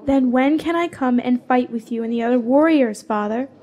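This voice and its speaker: A fierce young warrior , played by a woman, with a slightly high voice. She is proud and defiant, speaking in bold, blunt declarations as in a fantasy radio drama. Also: normal speed, warm tone, muffled recording, close to an everyday voice.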